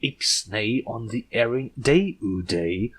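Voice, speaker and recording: A man's voice: medium pitch, a bit bright, breathy edge. A man voicing a guarded, distracted female pegasus author in a cartoon story, with an offhand manner. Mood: uneasy. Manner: offhand, halting when cornered.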